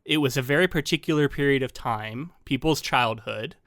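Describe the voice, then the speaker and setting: A man's voice: medium pitch, normal speed, dry recording, clean studio sound. Congested man chatting on a podcast. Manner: rambling, animated, frequent ums and restarts. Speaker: podcast co-host. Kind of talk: casual podcast chat.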